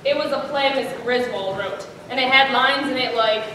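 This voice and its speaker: A woman's voice: high-pitched, normal speed, sharp tone. A teenage girl narrating a comic stage story as a student performer, with a theatrical, projected delivery. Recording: echoey room, distant mic, faint noise.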